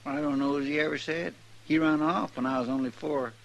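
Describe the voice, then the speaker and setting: A man's voice: on the high side, fairly even intonation, fairly quick, gravelly, lo-fi sound. An American man with a rural Western drawl playing a simple, good-natured, talkative country deputy in a 1950s radio western. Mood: matter-of-fact. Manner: folksy, rambling, drawling. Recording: noisy old radio recording.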